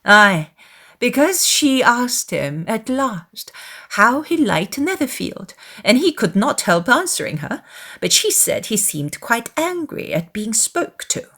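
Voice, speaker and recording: Lady doing a fussy gossipy middle-aged mum, all indignant and emphatic, pitch all over the place, audiobook drama. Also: big pitch swings, slow pace, bright, forceful tone, exaggerated voice. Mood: scornful, gossipy. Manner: emphatic, swooping, exclaiming. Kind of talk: dramatized audiobook of a classic novel.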